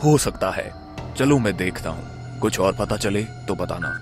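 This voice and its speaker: A man playing a cautious, earnest villager in an animated horror story. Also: low-pitched, measured pace, music in the background. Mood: serious. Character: wary, serious village man in horror cartoon. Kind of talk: Hindi animated story voice acting.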